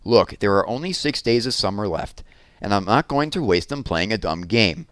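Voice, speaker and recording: A man's voice: lowish voice, lively intonation, moderate pace, a bit bright, no room sound. A man voicing a sullen, angry young boy with a curt, grumbling manner in a children's story. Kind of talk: children's audiobook character dialogue.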